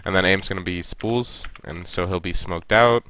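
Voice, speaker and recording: A man's voice: low-pitched, normal speed, fairly bright tone, a little hiss or hum. A young man with a stuffy nose, casually reviewing a team's game and coaching the players directly. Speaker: esports coach reviewing a match.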